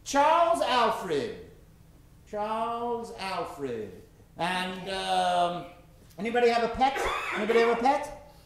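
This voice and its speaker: A man's voice: high voice, big pitch swings, slow, echoey room, a little hiss or hum. A man hosting a live improv show from a theater stage, with theatrical, showman-like patter for the audience. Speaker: host.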